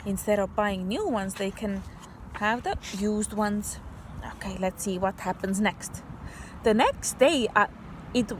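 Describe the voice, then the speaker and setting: A woman reads to young children as their teacher, taking her time. Her voice sits fairly high, and she explains patiently in simple steps. There is noticeable noise behind her. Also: rough recording. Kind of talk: slow, expressive picture-book read-aloud with simple explanations.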